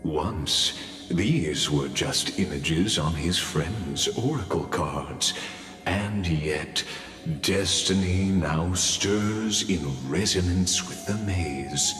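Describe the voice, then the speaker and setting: A man playing a grave storyteller, narrating a fantasy game in a solemn, measured way. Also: sits low, fairly bright tone, heavily acted. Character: grave male storyteller narrating a fated journey. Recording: long hall reverb under music.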